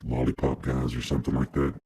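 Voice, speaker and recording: A man talking slowly through a pitched-down voice changer, with a raspy voice. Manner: slow, flat drawl.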